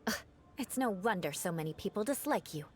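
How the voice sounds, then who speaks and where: A woman's voice: mid-range voice, expressive, fairly quick, over music, natural voice. An actress playing a proud, aloof aristocratic female knight who speaks formally with dry, haughty teasing, in video game dialogue.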